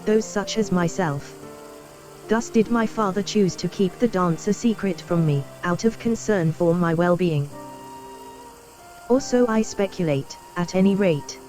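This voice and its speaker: An actress playing a gentle, frail young noblewoman in a video game, with formal, measured, polite phrasing. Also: fairly low pitch, lightly acted. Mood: pensive. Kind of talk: scripted video game dialogue. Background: game music.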